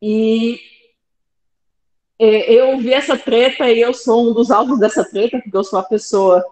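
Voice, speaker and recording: A woman's voice: fairly high pitch, fairly even intonation, measured pace, darkish tone. Woman, Fortaleza accent, podcast guest, hesitant, thoughtful, headphones, roomy echo. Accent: Brazilian Portuguese from Fortaleza. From Brazil. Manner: thoughtful, hesitant, with light laughs and asides. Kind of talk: casual podcast discussion. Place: home room with plants behind. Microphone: headphones on a video call.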